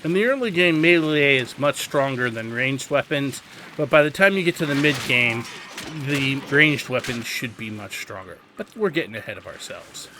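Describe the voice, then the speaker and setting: A man's voice: mid-range voice, animated, moderate pace. A man giving tutorial commentary over gameplay in an explanatory, conversational way. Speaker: gaming video host. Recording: faint game noise behind.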